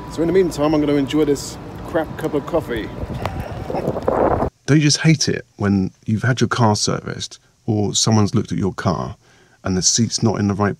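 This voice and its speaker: A man with a hoarse, croaky voice from a sore throat, narrating his vlog in a chatty, rambling, self-mocking way. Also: fairly low pitch, background noise. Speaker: vlogger and meditation maker.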